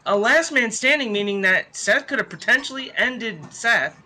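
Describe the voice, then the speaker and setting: A man's voice: very high voice, expressive, normal speed, sharp tone, a little hiss or hum. A man from the United States co-hosting a wrestling podcast, chatting in a brash, sweary, argumentative way. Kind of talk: casual heated panel chat.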